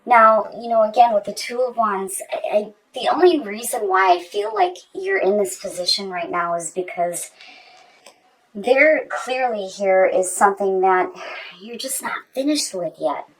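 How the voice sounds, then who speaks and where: A woman's voice: medium pitch, lively intonation, unhurried, far from the mic, a little hiss or hum, poor audio. A woman giving a conversational tarot reading straight to the viewer, repeating phrases for emphasis. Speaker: tarot reader.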